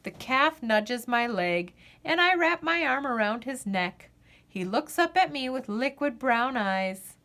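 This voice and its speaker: A woman narrates a children's picture book at an unhurried pace. Her pitch sits on the high side and moves up and down freely, and she phrases each line deliberately, stretching out the refrains. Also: animated, fairly bright tone. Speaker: storyteller reading a children's picture book aloud. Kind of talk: expressive children's read-aloud narration.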